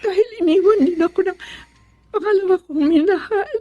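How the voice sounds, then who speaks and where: A woman's voice: extremely high pitch, fairly quick, dull audio. A woman with a Filipino accent playing a tender, earnest mother in a Tagalog radio drama. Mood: weak, sorrowful.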